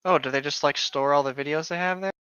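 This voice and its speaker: A man's voice: on the high side, fast, bright, forceful tone, clean tone, dry recording. Man joking on a dull, lo-fi mic. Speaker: podcast co-host. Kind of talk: casual comedic chat while reading horror stories aloud. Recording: dull lo-fi microphone sound.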